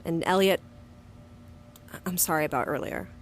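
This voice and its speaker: A woman's voice: lowish voice, moderate pace, slight background noise, close to an everyday voice. A woman playing a defiant, stubborn agent in an audio drama, who speaks bluntly and narrates her own story. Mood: subdued. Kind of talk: audio drama dialogue and first-person narration.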